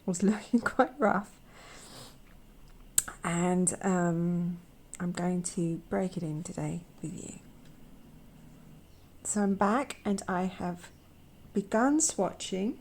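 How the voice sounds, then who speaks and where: A young woman with a croaky voice from a sore throat, gently hosting a casual art vlog where she swatches watercolours. She talks softly, often slips into a whisper, and hesitates with fillers. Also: on the low side, slow, pauses often. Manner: soft-spoken, gentle, often drops to a whisper. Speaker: watercolour artist and channel host.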